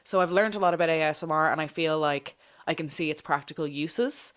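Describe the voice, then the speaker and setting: A woman's voice: low voice, fairly quick, a bit bright, smooth. A woman talking as a podcast co-host in a casual, chatty, informal conversational style. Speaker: podcast co-host and ASMR channel presenter.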